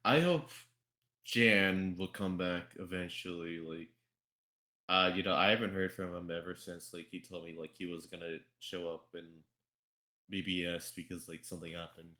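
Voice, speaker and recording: Low-pitched man rambling in a roomy space. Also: steady tone, normal speed, sharp tone. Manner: rambling, repetitive, heavy swearing and filler words. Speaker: podcast host. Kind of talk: casual group podcast chat about games and fandubbing. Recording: roomy, echoey voice recording.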